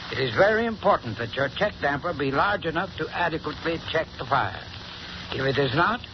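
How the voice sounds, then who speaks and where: Earnest man giving a radio sponsor pitch. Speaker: sponsor's heating expert. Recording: noisy dull 1930s radio recording.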